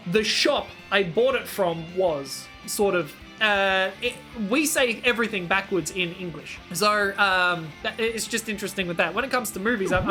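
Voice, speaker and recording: A woman's voice: medium pitch, pushed and bright. A young woman chatting casually as she reacts to clips, rambling and restarting, breaking into surprised exclamations. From Australia.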